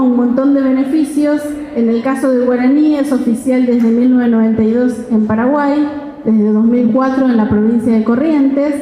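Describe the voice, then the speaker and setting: A woman's voice: high voice, mellow. A woman speaking on an academic panel, explaining carefully and checking in with her listeners. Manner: measured, explanatory, frequent "¿sí?" check-ins. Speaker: panelist, translator. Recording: echoey hall, long reverb.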